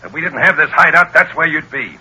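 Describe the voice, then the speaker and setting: An actor playing a tough, bossy American gangster on the run, in old-time radio drama style. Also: male voice, fairly high pitch, brisk, sharp tone, breathy edge, exaggerated voice, low-quality recording. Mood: gruff, bossy. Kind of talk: 1930s-40s radio acting.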